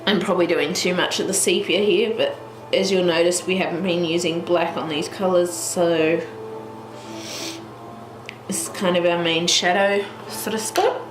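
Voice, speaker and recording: A woman's voice: lowish voice, steady tone, measured pace, music in the background. A young Australian woman with a stuffy, congested voice, rambling casually through a colouring tutorial at home, with lots of fillers. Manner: rambling, with many fillers and restarts. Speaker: colouring channel host. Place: at home, in front of a heater. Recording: echoey room with background noise.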